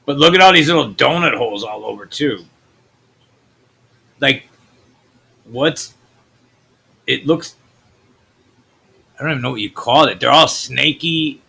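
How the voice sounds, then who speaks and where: A man from the US Midwest with a naturally deep voice, hosting a channel and talking loosely through his screen, trailing off into mutters. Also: measured pace, gravelly, pauses often, pushed and bright, husky. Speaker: channel host. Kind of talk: rambling screen-share commentary.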